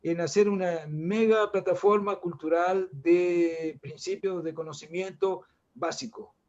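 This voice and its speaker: A man's voice: high-pitched, steady tone, measured pace, a little mellow, lo-fi sound. An older Chilean man talking in a reflective, rambling way, with many restarts and fillers. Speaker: guest artist. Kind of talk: casual panel discussion.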